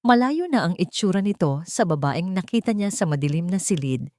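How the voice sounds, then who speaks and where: A woman's voice: lowish voice, animated, brisk, a little mellow, no echo, studio quality. A woman reading a scripted, dramatic audio story as its storyteller.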